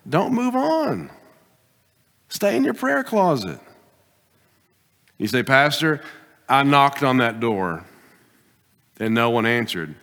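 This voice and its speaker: A man preaching a sermon as pastor, pressing his points with rising bursts and then dropping to quiet, deliberate asides. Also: medium pitch, big pitch swings. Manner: emphatic preaching, swings between loud exhortation and quiet asides. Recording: long hall reverb.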